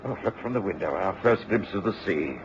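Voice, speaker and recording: Old muffled radio drama, hearty English doctor, British male, low-pitched, narrator's delivery. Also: lively intonation, brisk. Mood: hearty, insistent. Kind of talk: mid-century radio drama acting and narration. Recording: dull, noisy old radio recording.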